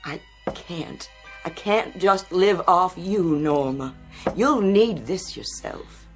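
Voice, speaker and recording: A fragile, anxious older woman pleads in a tremulous voice. She speaks slowly with big swings in pitch, in 1960s television drama style, with music behind. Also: lively intonation. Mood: fearful. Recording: vintage TV soundtrack with music behind.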